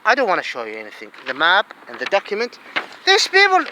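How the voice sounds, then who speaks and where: Agitated high-voiced Saudi-accented man. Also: huge pitch swings, quick pace, noisy background. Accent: Saudi Arabian. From Saudi Arabia. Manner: agitated, swings between low muttering and loud outbursts. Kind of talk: ranting monologue to camera.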